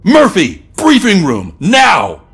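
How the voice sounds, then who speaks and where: Husky, barking, agitated policeman. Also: high voice, huge pitch swings, slow, a bit bright, full character voice. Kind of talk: video game cutscene acting.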